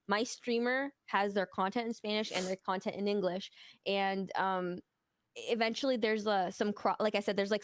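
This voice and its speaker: A woman's voice: mid-range voice, no room sound, studio quality. Rambling, candid woman streamer. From Mexico. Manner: open, rambling, many fillers. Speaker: guest streamer. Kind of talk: casual interview talk.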